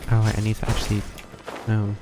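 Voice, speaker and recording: A man's voice: lowish voice, completely flat delivery, quick pace, very noisy. A man giving casual gameplay commentary on a livestream, muttering hesitantly as he thinks aloud, with game music behind him. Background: video game music.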